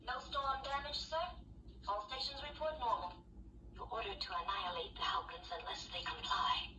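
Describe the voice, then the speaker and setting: A woman's voice: fairly high pitch, lively intonation, moderate pace, far from the mic, natural voice. An actress playing a firm female starship officer in an old television science fiction drama. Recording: echoey, noisy toy speaker across room.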